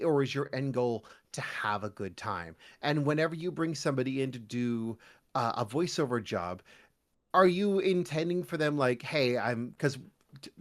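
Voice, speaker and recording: Male podcast guest, voice actor type: mid-pitched with lively swings, jokey, conversational, loose stop-start delivery. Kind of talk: casual interview chat.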